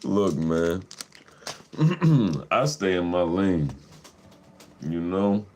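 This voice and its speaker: A man with a deep voice, hosting a livestream in casual chat, laid-back and profane, laughing often. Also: animated, very slow, darkish tone, a little hiss or hum. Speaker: livestream host.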